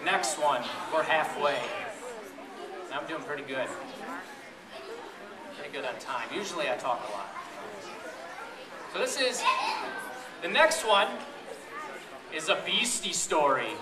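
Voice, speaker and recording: Animated, slow-paced man reading to children. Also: a little high, a loud crowd. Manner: playful, chatty, pausing to ask the listeners questions. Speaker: storybook reader to children. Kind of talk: animated read-aloud to a group of children. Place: echoey room with an audience of families. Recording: roomy, distant event recording with audience noise.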